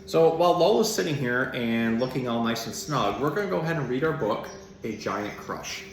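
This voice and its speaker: A man's voice: mid-range voice, lively intonation, normal speed. A man giving simple, child-friendly explanations and storybook reading in the informal style of an animal educator. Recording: roomy echo, some background noise.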